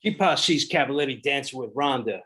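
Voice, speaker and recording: A man's voice: mid-range voice, lively intonation, normal speed, pushed and bright, breathy edge. A man reading the stage directions aloud at a table read in a plain, matter-of-fact way.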